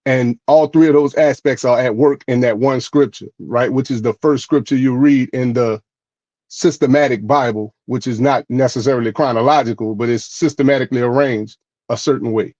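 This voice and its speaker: A man co-hosting a podcast explains his views steadily and carefully, pausing often to ask "right?". His voice comes through a little muffled and dry, at a middling pitch. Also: darkish tone, no echo. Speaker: podcast co-host. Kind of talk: casual podcast conversation.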